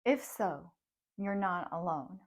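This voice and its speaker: Woman in her thirties, psychologist and coach, first language Russian; reflective talk to camera, quick laughs between thoughts. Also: on the low side, animated. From grew up in the United States from age ten, born abroad.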